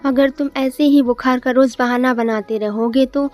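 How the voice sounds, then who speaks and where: A woman's voice: high voice, steady tone, moderate pace, darkish tone, faint music behind, lightly acted. A woman voicing a greedy, nagging village wife in a cartoon moral story, scolding and demanding.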